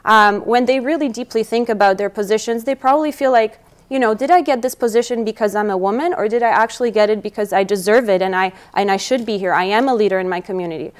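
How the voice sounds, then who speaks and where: Young woman, twenties, non-native English, earnest political guest, smooth clear tone, lapel mic in studio. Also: on the high side, quick pace. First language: not English. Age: 20s. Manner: earnest, eager, fluent with fillers. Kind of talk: studio interview answers.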